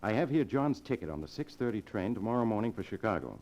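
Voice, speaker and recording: An actor playing a cold, controlling younger man who plots murder, in the deliberate, insistent style of 1940s radio drama. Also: on the low side, very animated, darkish tone. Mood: coolly scheming. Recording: dull old radio broadcast recording.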